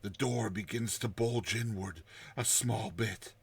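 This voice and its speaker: Man acting out a terror-gripped storyteller, swinging from hush to outburst. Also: on the low side, vocal fry, breathy edge, heavily acted. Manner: explosive outbursts, hushed tense whispers, dramatic pauses. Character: terrified man recounting his nightmare of a lurking giant serpent. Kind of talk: dramatized storytelling.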